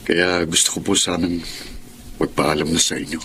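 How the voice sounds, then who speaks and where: A man's voice: deep voice, fairly even intonation, moderate pace, pushed and bright, a little hiss or hum. An actor playing a guilt-ridden, respectful family man in a Filipino radio drama, with a Filipino accent. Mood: guilty, pleading. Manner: hesitant, respectful, pleading. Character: conscience-stricken, submissive working-class father.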